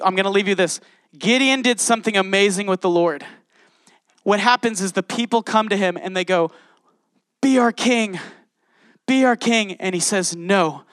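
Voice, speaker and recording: A man with a high voice preaches a sermon in a church. He reads scripture aloud and turns to the congregation with passion. The sound is close and dry, with no room echo. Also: a bit bright, smooth. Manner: passionate, addresses congregation directly. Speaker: pastor. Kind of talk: sermon with Bible reading.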